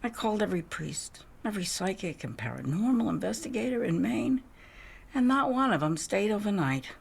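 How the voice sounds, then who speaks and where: An actress playing a faded, reclusive older widow, wistful and wry, confiding theatrically in a game monologue with drops into whispers. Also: female voice, medium pitch, animated, a little fast. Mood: wry, nostalgic. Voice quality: husky, breathy with creaky edges. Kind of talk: dramatic video game monologue.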